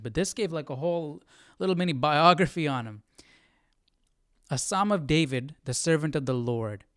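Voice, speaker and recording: A man hosting an informal Bible study, teaching casually and thinking aloud with halting, repeated phrases. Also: on the high side, dry recording, studio quality. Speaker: host of a Bible study live stream.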